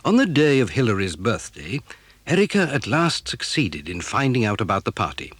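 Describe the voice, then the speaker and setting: A man's voice: lowish voice, sing-song, normal speed, fairly bright tone, husky, no room sound. A man giving scripted narration of a children's story.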